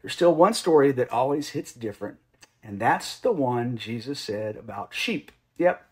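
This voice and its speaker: A middle-aged man hosting a show with a comedic, punchy monologue, animated and playful with slang. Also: medium pitch, moderate pace, slightly rough. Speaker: host of a Bible commentary show. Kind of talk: comedic scripted monologue with asides.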